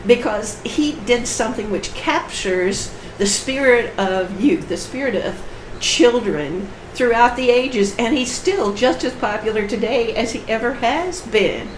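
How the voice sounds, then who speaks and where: A woman's voice: fairly high pitch, animated, a little slow. A woman author chatting on a panel podcast, talkative and explaining at length. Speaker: author guest. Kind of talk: casual panel chat. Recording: roomy with faint hiss.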